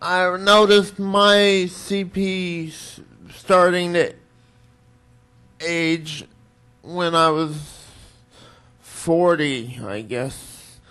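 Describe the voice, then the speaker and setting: Smooth-voiced, unhurried man in his forties. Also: high voice, fairly even intonation, pushed and bright. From Washington, DC. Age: 40s. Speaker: conference attendee. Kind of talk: self-introduction.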